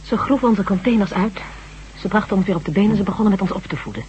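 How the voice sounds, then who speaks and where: An actress playing a grave, resolute survivor of a hunted humanlike species in a Dutch radio drama, speaking in an earnest, measured way. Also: female voice, medium pitch, darkish tone, close to an everyday voice. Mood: bitter, grave. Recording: dull old radio recording with hum.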